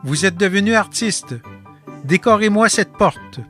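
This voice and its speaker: A man with a Quebec French accent narrating a documentary about his artist residency in a calm, measured voice-over. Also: high voice, faint music behind.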